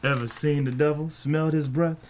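A man's voice: a little high, fairly even intonation, brisk, a little mellow, a little hiss or hum, natural voice. A man playing a sinister, haunted old bluesman, at a screenplay table read. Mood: menacing.

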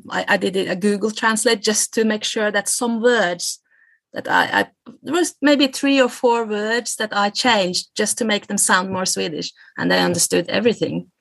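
A woman's voice: medium pitch, vocal fry, bright, forceful tone, no echo. A middle-aged woman from Norway with a Norwegian accent, speaking English as a guest lecturer in a casual interview, thoughtful and hesitant, often restarting and laughing. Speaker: guest, lecturer on Norse myth.

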